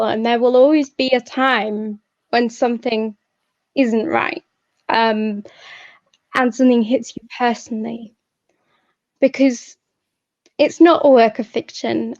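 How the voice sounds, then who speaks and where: A woman hosting an event, talking reflectively and informally in a thoughtful, halting way. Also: fairly high pitch, a little mellow. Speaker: event host and poet. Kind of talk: reflective informal talk to an audience. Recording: echoey, slightly muffled sound.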